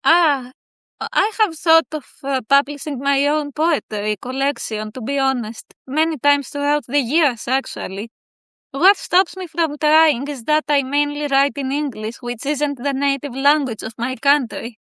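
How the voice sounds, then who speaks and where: High, bright-voiced Greek-native woman. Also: unhurried, dry recording. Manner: thoughtful, hesitant with many fillers. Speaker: poet guest. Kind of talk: casual interview answers.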